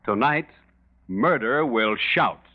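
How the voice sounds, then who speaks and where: A man's voice: medium pitch, animated, slow. An American man announcing a 1940s radio commercial with emphatic, polished sales delivery. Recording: dull 1940s radio recording.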